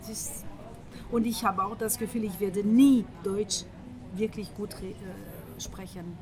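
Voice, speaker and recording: A woman's voice: medium pitch, steady tone, unhurried, mellow. Hesitant French-accented woman. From France. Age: middle-aged. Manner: hesitant, searching for words, many fillers and restarts. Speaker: guest. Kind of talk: casual conversation over breakfast. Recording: breakfast table with a group chatting in the background.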